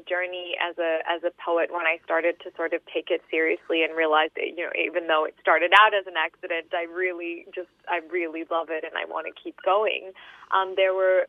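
A woman in her thirties from Australia, a poet answering interview questions with many fillers and restarts, then reading her poems in a steady, measured way. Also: on the low side, no echo. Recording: tinny phone-line sound.

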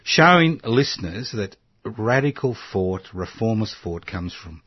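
A chatty older man hosts a radio chat, his voice very deep and husky. The recording is dry and a little dull. He jokes with his guest and asks friendly questions. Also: unhurried, no room sound. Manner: chatty, jokey interviewer with lively rises. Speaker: radio host. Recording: dull lo-fi sound.

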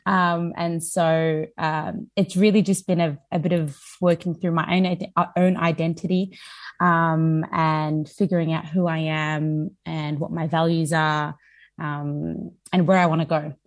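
Lowish-voiced young Australian woman. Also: level tone, unhurried. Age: 20s. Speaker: interview guest, youth worker. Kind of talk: conversational interview answers.

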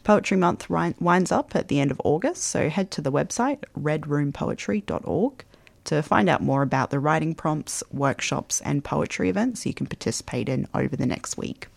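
A radio host wrapping up a morning show in a friendly, brisk way. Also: medium pitch, lively intonation, creaky, dry recording. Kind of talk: radio outro.

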